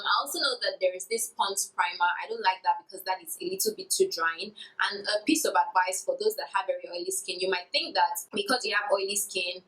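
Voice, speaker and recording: A woman's voice: mid-range voice, fairly bright tone, poor audio. A woman in her twenties, a beauty YouTuber, answering viewer questions in a candid, chatty vlog with a sing-song lilt. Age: 20s. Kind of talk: casual Q&A vlog.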